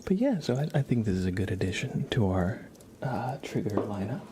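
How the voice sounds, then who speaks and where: A man doing casual ASMR live-stream chat in a soft, whispery, hushed voice, rambling informally. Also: on the low side, very animated, normal speed, noisy background.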